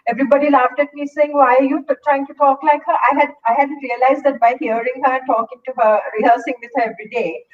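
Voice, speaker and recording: A woman's voice: sits high, fairly even intonation, fast talker, hardly any pauses. Sri woman host, distant on a call. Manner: conversational, anecdotal. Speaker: host, interviewer. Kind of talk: casual interview conversation. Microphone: video call. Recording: distant, muffled online call audio.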